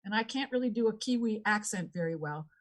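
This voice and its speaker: A woman's voice: medium pitch, expressive, a little fast. A woman answering as a candidate in a debate, making earnest, repetitive appeals to voters.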